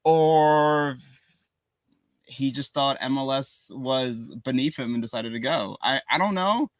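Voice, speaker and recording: A man's voice: mid-range voice, slow, dry recording. A man co-hosting a casual soccer podcast from a small studio, sharing opinions he keeps hedging with "in my opinion". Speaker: podcast co-host. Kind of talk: casual sports chat.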